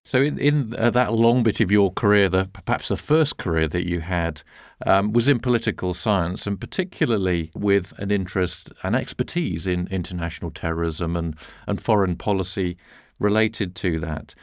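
A man with a deep voice asks interview questions in a conversational way. He is hesitant and tentative, often restarting his phrases, and the sound around his voice is very dry. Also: normal speed, no echo.

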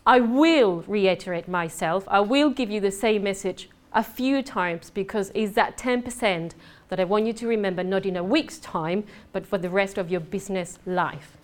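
A woman from southern Spain with a Spanish accent, giving a fast, chatty, joking networking presentation to a room. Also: mid-range voice. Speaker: networking speaker. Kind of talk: lively conference presentation. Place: conference room. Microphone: mic at a talk.

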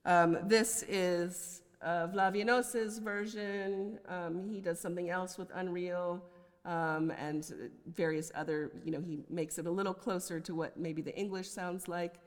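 A woman's voice: lowish voice, steady tone, measured pace. American woman lecturing in a reverberant hall. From United States. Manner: scholarly, conversational asides. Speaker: poetry professor giving a lecture. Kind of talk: academic lecture. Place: large indoor lecture hall.

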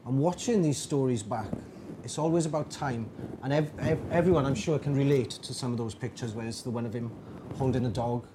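A man talks through his thoughts in a loose, reflective way, often restarting his sentences. His pitch sits in a middle range for a man, and a steady noise runs underneath the recording. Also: fairly quick. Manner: reflective, with many restarts and fillers. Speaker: digital storytelling workshop leader. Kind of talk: informal talk to an audience.

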